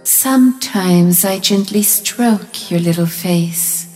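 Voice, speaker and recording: A woman voicing a vast, calm wind spirit in a storybook, speaking in a slow, solemn chant. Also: fairly low pitch, big-room sound, soft background music, low-quality recording.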